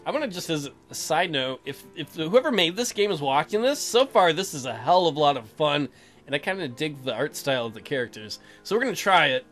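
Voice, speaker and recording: A man's voice: on the high side, big pitch swings, a little fast, a bit bright. A man hosting a let's play, narrating and commenting on a game in a casual, irreverent, jokey way. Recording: music behind the voice.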